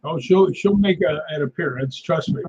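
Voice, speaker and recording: A man's voice: fairly high pitch, moderate pace, a little mellow, breathy edge, highs cut off. A man who is a guest on a live-stream chat, talking in a wry, joking way and laughing often.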